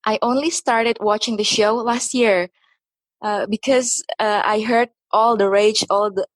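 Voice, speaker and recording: A woman from Indonesia, a podcast guest speaking English haltingly with many pauses. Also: mid-range voice, fairly even intonation, no room sound. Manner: hesitant, many "uh" and restarts. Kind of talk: casual podcast chat.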